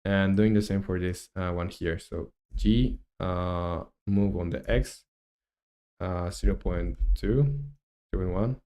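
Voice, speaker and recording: A man's voice: deep voice, fairly even intonation, slow, mellow. A young man from Chile in his twenties, chatting casually while he works on a game and reads chat aloud, with lots of fillers and restarts. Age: 20s. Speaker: game dev streamer.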